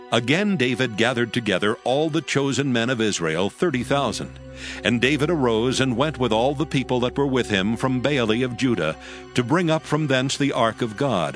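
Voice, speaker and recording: A male narrator reads scripture for an audio Bible in a mid-pitched voice. The delivery is measured and solemn, with music clearly playing behind.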